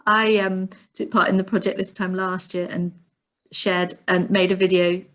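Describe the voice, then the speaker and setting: A woman's voice: medium pitch, level tone, moderate pace, a bit bright. A woman hosting a webinar on a video call, encouraging her guests and speaking with many fillers. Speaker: webinar host.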